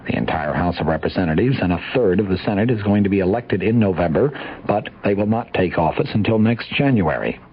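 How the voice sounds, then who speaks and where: American guy reading radio news, lowish voice, kinda husky, muffled old broadcast. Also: expressive, a little fast. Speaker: radio newscaster. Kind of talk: scripted news report.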